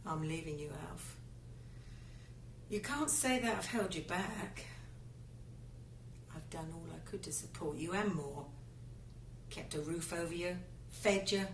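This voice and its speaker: An actress playing a long-suffering British wife in a radio drama, speaking in a theatrical, deliberate manner. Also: female voice, lowish voice. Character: long-suffering, fed-up London wife of a failing photographer. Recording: distant, echoey, faint background noise.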